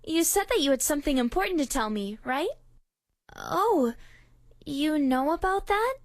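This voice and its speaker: A woman voicing a sweet, anxious teenage schoolgirl in a game, who speaks softly and politely and often pleads or sounds shy. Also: high-pitched, very animated, measured pace, no room sound, studio quality, exaggerated voice. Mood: gentle. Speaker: voice actress for a game character. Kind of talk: game voice lines.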